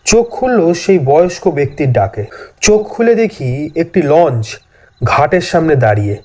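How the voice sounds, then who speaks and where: A man's voice: on the high side, big pitch swings, normal speed, a little mellow. A man telling a scripted first-person horror story as a dramatic narrator.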